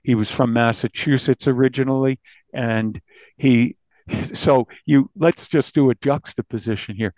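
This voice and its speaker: A mature American man with a gravelly voice, a reporter recounting a story in a conversational interview. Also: mid-range voice, fairly even intonation, unhurried, a little mellow, no echo. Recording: dull, slightly lo-fi, dry.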